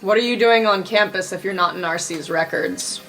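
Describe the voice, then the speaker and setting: A woman's voice: mid-range voice, moderate pace, a bit bright, faint music behind. A woman improvising roleplay as a player in a tabletop game.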